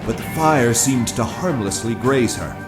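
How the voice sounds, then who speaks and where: A man's voice: medium pitch, animated, unhurried. A man giving dramatic scripted narration for an audio drama. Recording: loud music behind.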